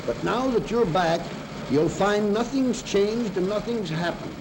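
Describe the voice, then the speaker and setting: Kindly rancher uncle, higher-pitched male voice, animated, 1930s radio western. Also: unhurried. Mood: fond, cautioning. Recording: noisy 1930s film soundtrack.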